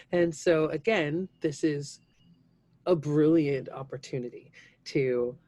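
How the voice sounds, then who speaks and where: A woman's voice: deep voice, slow pace, darkish tone. A woman who works as a stage actor, speaking casually and explaining things in a conversational way. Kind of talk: casual spoken interview.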